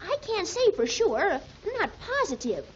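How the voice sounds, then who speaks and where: Plucky kid girl from a 30s radio show, really high, swoopy excitable talk, dull old recording. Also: measured pace, a little hiss or hum, heavily acted. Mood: urgent, earnest. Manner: exclamatory, earnest, folksy slang. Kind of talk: 1930s radio serial acting.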